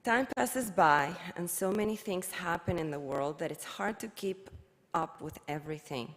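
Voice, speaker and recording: A middle-aged woman from Cuba, giving a measured, careful reflection at a service. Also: fairly low pitch, fairly bright tone. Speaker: worship leader. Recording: long hall reverb.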